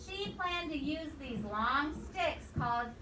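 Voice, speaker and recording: A woman reading a storybook aloud to young children like a teacher, expressively, pausing to ask them questions. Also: high-pitched. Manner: expressive, pausing to quiz the listeners. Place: indoor room with children present. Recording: echoey, distant microphone, noisy room.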